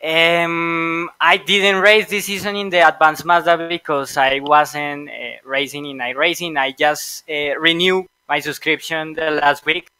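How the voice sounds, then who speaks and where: A Colombian man whose first language is Spanish, speaking English in a Colombian accent, hesitant and halting as an interviewed sim racer. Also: a little high, fairly even intonation, pushed and bright, clean tone, dry recording. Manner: hesitant, halting with many fillers. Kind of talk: post-race interview.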